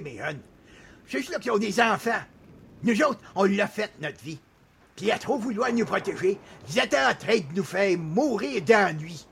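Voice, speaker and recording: A man's voice: sits high, gravelly, fairly bright tone, a little hiss or hum, exaggerated voice. An actor playing a bored, exasperated old man with a Quebec French accent, complaining plaintively in a radio comedy monologue. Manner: plaintive, dramatic, folksy, drawn-out complaining.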